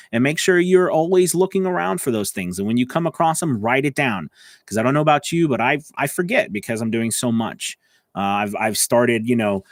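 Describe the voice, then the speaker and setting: A man's voice: mid-range voice, fairly bright tone, no room sound, studio quality. A man speaking as a voice acting coach on a live stream, talking informally and directly to listeners in an encouraging, conversational way. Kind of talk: informal instructional talk to livestream viewers.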